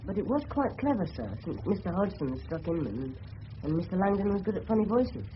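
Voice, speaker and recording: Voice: mid-range voice, very animated, fairly quick, lightly acted. An actor playing a polite, earnest English teenage schoolboy in a radio drama, respectful in manner. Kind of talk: 1970s British radio drama acting. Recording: muffled old radio recording with hiss.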